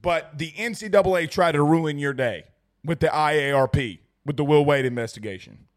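Hoarse excited sports host. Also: male voice, on the high side, brisk. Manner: excitable, rambling, repeats himself. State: losing his voice, strained. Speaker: sports talk show host. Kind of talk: excited post-game livestream chat reading super chats.

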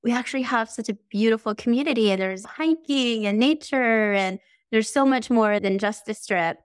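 A woman's voice: fairly high pitch, normal speed, clear, resonant tone, no room sound. A woman hosting a spiritual podcast, reading flowery introductions and chatting with a guest in an effusive manner. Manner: flowery, effusive scripted delivery. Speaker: podcast host. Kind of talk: podcast interview, read intro.

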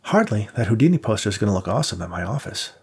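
A man voicing a confident young woman ghost hunter, brisk and matter-of-fact with a dry wit. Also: lowish voice, big pitch swings, creaky, slightly rough, exaggerated voice. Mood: wry.

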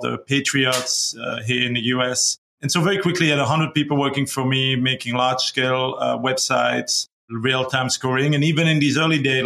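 A middle-aged man from Belgium talks through his career on a video call. His voice is bright and forward. He speaks casually, stopping and restarting his sentences. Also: mid-range voice, vocal fry, rough recording. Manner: halting, many restarts and fillers. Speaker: guest, company founder. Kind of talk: casual interview talk.

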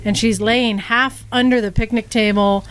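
A woman co-hosting a podcast, telling a story to friends in a casual, animated way and acting out what people said. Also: a little high, normal speed, slight background noise. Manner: animated, laughing, acts out quoted speech. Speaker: podcast co-host.

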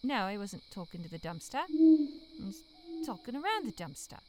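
A woman's voice: medium pitch, sing-song, moderate pace, close to an everyday voice. A woman playing a brash, foul-mouthed pet-shop worker with a blunt, sardonic manner in an audio drama. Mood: wry. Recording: clear background noise.

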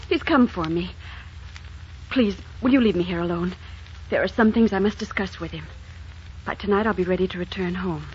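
An actress playing an earnest, troubled young heroine with a secret, in theatrical 1940s radio style. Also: female voice, lowish voice, moderate pace, long pauses, darkish tone, natural voice. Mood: earnest, guarded. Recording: dull, slightly noisy 1940s radio recording.

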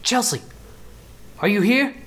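A man's voice: high voice, expressive, measured pace, fairly bright tone. A man playing a cocky, defensive businessman suspected of murder in an amateur audio drama. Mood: alarmed.

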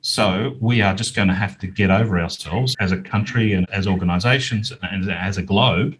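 A man's voice: low voice, moderate pace, fairly bright tone, breathy edge, rough recording. An Australian man, middle-aged or older, speaking as an outspoken, emphatic guest in a conversational interview. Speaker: interview guest, business owner and author.

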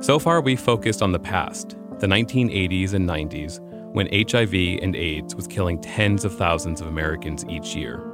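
A man hosting a podcast, giving scripted narration in a measured, earnest way. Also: low-pitched, music in the background. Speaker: podcast host.

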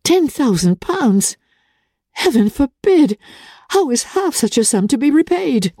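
A woman voicing a spirited, earnest young gentlewoman in a dramatic reading of a classic novel, with emphatic, formal period speech. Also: sits high, very animated, a little slow, no room sound, clean studio sound, pitched above the performer's own voice, exaggerated voice. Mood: astonished, distressed.

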